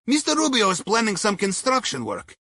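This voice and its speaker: Lively high-voiced Russian heist planner. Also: male voice, brisk, dry recording, studio quality, exaggerated voice. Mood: advising, cautionary. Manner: wry, chatty, droll, broken English with folksy sayings. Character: wry, jovial Russian submarine captain's heist planner, male. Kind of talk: video game mission dialogue over radio.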